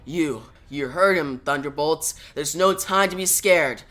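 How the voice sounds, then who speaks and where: A man's voice: fairly high pitch, measured pace, pushed and bright, soft background music, pitched below the performer's own voice. A man voicing a hero who banters with and reassures his old friend. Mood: bantering. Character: fretful male hero reunited with a friend.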